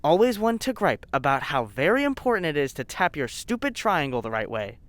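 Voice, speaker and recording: Audio drama actor as spiteful scientist zealot: sarcastic, animated intonation, dry studio sound. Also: medium pitch, a little fast, dry recording, natural voice. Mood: bitter, fervent. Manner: bitter, sarcastic, insistent. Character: zealous, spiteful lab scientist named Colin. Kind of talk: scripted audio drama acting.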